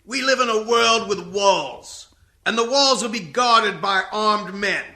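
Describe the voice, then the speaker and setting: A man's voice: high voice, takes it slowly, exaggerated voice. A man playing a righteous, contemptuous military colonel in an amateur radio comedy, haranguing with heavy emphasis. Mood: contemptuous, indignant. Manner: haranguing, emphatic, swings into shouting. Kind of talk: amateur radio comedy parody of courtroom film drama.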